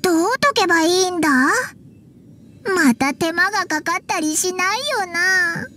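A woman voicing a tiny, excitable fairy companion in an anime game, childish and blunt with tomboyish phrasing. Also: extremely high pitch, normal speed, a little hiss or hum. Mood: curious, wary. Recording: game music behind.

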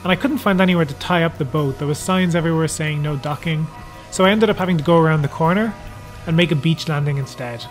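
A man narrating a how-to sailing vlog in a measured, explanatory manner. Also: a little high, soft background music. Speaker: sailing vlogger.

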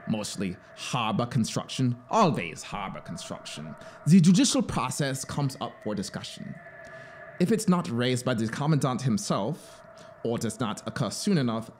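A man's voice: medium pitch, slight background noise, close to an everyday voice. A man voicing a fanatical, scheming officer in a dramatic story reading, pleading and grandiose, with a theatrical, urgent manner. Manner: theatrical, urgent, swinging between hushed asides and exclamations.

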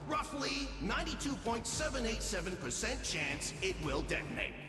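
An actor playing an anxious, brainy robot scientist in a cartoon-style video game, with a precise, technical delivery. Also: male voice, very high-pitched, sing-song, normal speed. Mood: worried. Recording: echoey reverberant hall, loud music.

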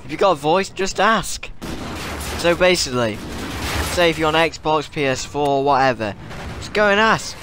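A man's voice: a little high, expressive, moderate pace, background noise. A teenage boy with a cold, rambling through casual tips to his viewers over gameplay, often repeating himself. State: has a cold. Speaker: gaming YouTuber.

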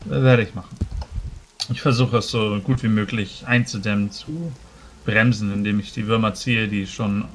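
A man playing a careful, concerned scholar-healer in a tabletop game, speaking formally with measured hesitation. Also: fairly low pitch, fairly even intonation, slight background noise, close to an everyday voice. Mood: grave.

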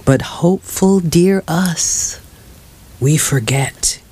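A man giving a dramatic literary reading, halting and mournful, in short phrases. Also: fairly high pitch, dark tone, slightly rough. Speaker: author reading from his novel. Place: small crowded bookshop, indoors. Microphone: microphone on a stand.

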